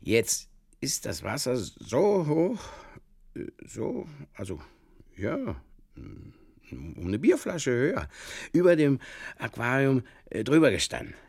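A man playing an earnestly muddled Bavarian fishkeeper in a halting, circuitous comic radio monologue, with a Bavarian accent. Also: medium pitch, wildly swooping pitch, vocal fry, a little mellow, husky, no echo, clean studio sound, exaggerated voice. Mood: earnestly explaining. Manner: halting, circuitous, literal-minded, over-explaining. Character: rambling, earnestly muddled Bavarian fishkeeper telling absurd tales.

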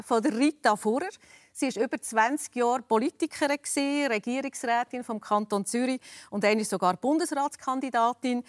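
A woman with a Swiss German accent hosting a Sunday radio talk show, lively and friendly with her guests. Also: fairly high pitch, no echo, clean studio sound. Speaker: radio host. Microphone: studio microphone.

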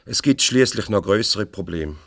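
A man's voice: on the low side, lively intonation, moderate pace, background noise. A man playing a sceptical, needling confidant in a Swiss German radio comedy, with a sardonic, admonishing way of talking. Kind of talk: naturalistic 1970s radio comedy acting.

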